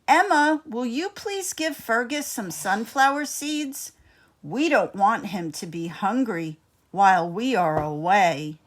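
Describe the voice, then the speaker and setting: A woman's voice: on the high side, animated, slow. A woman voicing a kindly, organized female elementary school teacher in a storybook, giving polite instructions.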